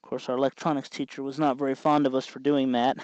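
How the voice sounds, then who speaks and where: A man's voice: medium pitch, little pitch movement, fast, darkish tone, no echo, highs cut off. A man hosting a do-it-yourself tutorial, explaining each step patiently in a casual, folksy way as he works. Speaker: host of a DIY electronics tutorial. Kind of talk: casual hands-on tutorial, explaining while working.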